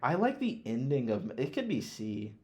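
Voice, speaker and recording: A man's voice: medium pitch, very animated, moderate pace, a little mellow. A man co-hosting a podcast, chatting casually and offhandedly with slang and swearing. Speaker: podcast co-host. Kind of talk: casual chat, ranking anime villains and films.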